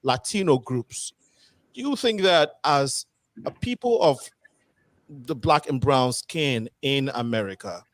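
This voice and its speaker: A man's voice: medium pitch, expressive, slow, no echo. A man hosting a panel talk show, asking long, rambling questions full of fillers. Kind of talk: panel discussion moderating.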